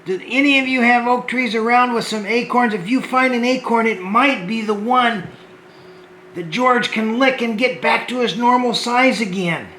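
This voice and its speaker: A man hosting a homemade children's show, talking gently and hesitantly to the kids and often repeating words. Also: very high voice, moderate pace, echoey room, a little hiss or hum, rough recording.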